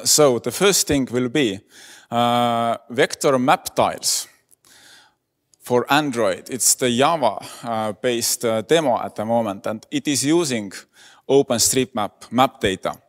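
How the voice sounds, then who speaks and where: Man giving a halting talk. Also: medium pitch. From Estonia. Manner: hesitant, many fillers. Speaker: conference speaker. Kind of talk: lightning talk presentation. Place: conference hall. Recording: echoey conference hall.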